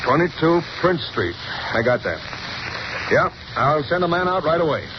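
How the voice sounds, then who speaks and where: A man's voice: fairly high pitch, big pitch swings, unhurried. A man playing a brusque, harried police commissioner in a 1930s radio drama, hemming with "uh". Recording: dull old radio broadcast with hiss.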